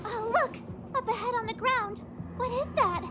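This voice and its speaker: A bubbly young girl adventurer from a video game. Her voice is extremely high, excitable and naive. Music plays clearly behind her. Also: moderate pace. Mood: excited alarm. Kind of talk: English game voice acting, cutscene dialogue.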